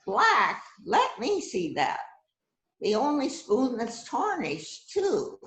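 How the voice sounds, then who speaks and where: Self-assured, musing detective for amateur stage mystery, played by a woman; unhurried, animated intonation, roomy sound. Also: fairly high pitch, exaggerated voice. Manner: deliberate, musing with frequent hmms. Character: shrewd, self-assured police detective, the boss, questioning suspects. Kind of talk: amateur readers theater murder mystery. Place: indoors on a virtual event call. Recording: roomy echoing video call sound.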